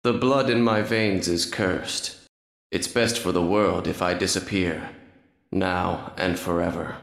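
A man voicing a brooding, noble half-vampire prince in a video game, speaking in a grave, formal, measured way. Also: sits low, fairly even intonation, gravelly, fairly bright tone, full character voice. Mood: somber, resigned. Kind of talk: dramatic video game voice acting. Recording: echoing game audio.

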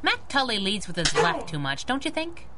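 A woman's voice: fairly high pitch, sing-song, moderate pace, very noisy. An actress playing a tough, wisecracking female crime-fighting sidekick, brassy and teasing, in a radio adventure drama. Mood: wry. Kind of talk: 1930s-style radio adventure acting.

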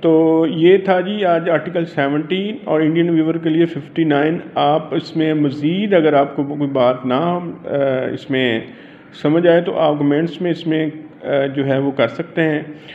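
A man with a Pakistani accent gives an informal explanatory lecture as a law teacher, halting and repeating himself and switching into English legal terms. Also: a little high, fairly even intonation. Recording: dull lo-fi audio with faint noise.

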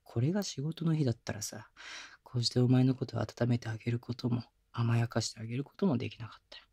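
A man playing a gruff but doting boyfriend. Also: mid-range voice, fairly even intonation, quick pace. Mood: tender, teasing. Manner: casual rough slang softened by gentle coaxing; soft close whispers at times. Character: young male boyfriend, rough-spoken but doting caretaker. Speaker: voice actor. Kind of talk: Japanese girlfriend-experience roleplay audio drama. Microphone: binaural mic.

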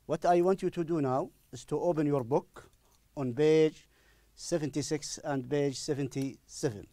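A middle-aged man with an Arabic accent, a native Arabic speaker, teaching an English lesson deliberately and repeating phrases for emphasis. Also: a little high, warm tone, no echo. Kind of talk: recorded classroom-style lesson. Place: indoor studio in front of a presentation screen. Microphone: lapel microphone.

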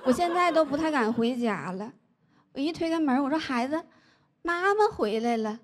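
A woman, doing a stand-up comedy set into a handheld mic on a large stage, telling stories deadpan and landing punchlines. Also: high voice, normal speed. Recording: live audience laughter noise. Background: audience laughter.

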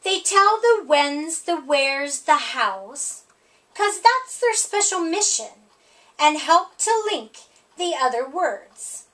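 A middle-aged woman reads a picture book aloud at storytime. Her voice sits high and swings widely in pitch. She takes her time, leaning on the rhymes in a sing-song way. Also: big pitch swings, slow pace, a bit bright. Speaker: storytime reader.

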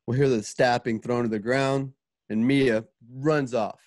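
A man with a medium-pitched voice casually directs actors and describes sound cues. He mouths effects as he goes. The sound is close and dry. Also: measured pace, no room sound. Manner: informal, animated with spoken sound effects.

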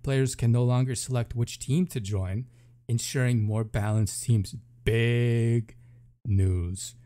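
A man's voice: mid-range voice, steady tone, slow, mellow. A man reading patch notes aloud as a gaming video host, with offhand casual commentary and thinking aloud between items.

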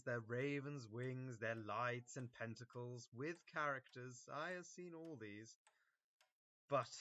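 An actor playing a pompous, self-absorbed gentleman in an early modern comedy, theatrical and declamatory. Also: a little high. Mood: scornful.

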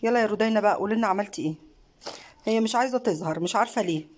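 Egyptian mom, casual vlog host, warm and encouraging, phone-recorded at home. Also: female voice, medium pitch, racing, a little hiss or hum. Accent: Egyptian Arabic. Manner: warm praising, encouraging, mixes in many fillers. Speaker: craft channel host and mother. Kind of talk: casual home craft vlog, talking behind the camera. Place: living room at a home table. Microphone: phone camera held by the speaker.

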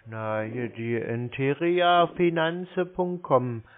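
Man on a telephone-quality line, mid-range voice, stalling and grumbling, slow on the uptake. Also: mid-range voice. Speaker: scam baiter posing as a victim on a call. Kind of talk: phone conversation, stalling. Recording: narrowband telephone-like sound.

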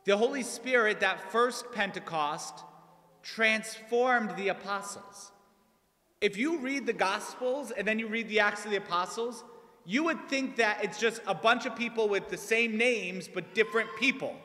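Animated priest in a reverberant church. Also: male voice, high voice, unhurried. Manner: casual, animated, asks "right?" often. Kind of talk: conversational homily. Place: large church, at a pulpit. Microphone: pulpit mic on a stand.

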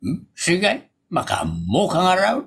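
Man acting creaky, animated Ilocano comic father. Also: high-pitched, slow pace, full character voice. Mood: amused, teasing. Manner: stammering, repeated interjections and exclamations. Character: older Filipino village father, teasing and exasperated comic. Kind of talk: Ilocano comedy audio drama acting.

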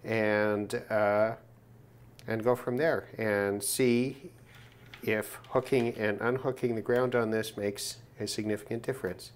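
A man's voice: lowish voice, fairly even intonation, slow pace, smooth. A man presenting an unscripted hands-on demonstration in a casual, matter-of-fact way, thinking aloud as he goes. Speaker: presenter of a radio gear test video. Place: indoors at a work desk.

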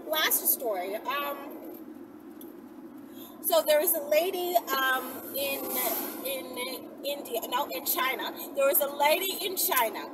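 A woman's voice: sits high, sing-song, takes it slowly. A woman in her thirties tells stories in a casual, blunt, slangy way, chatting to camera as a vlogger. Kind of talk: casual storytelling chat to camera. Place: inside a moving car. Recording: distant mic, loud vehicle noise. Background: loud road and vehicle noise.

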